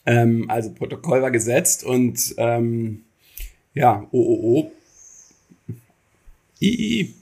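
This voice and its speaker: A man with a husky, slightly rough voice at medium pitch, answering as an interview guest. He explains in a casual, reflective way, feeling for the right words. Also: animated, slow pace, long pauses, darkish tone. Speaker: interview guest, theatre maker.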